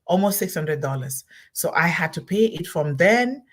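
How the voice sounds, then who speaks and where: A woman's voice: fairly low pitch, expressive, normal speed. A middle-aged woman from Cameroon, hosting a solo motivational talk, emphatic and repeating her key words. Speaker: host of a motivational talk series.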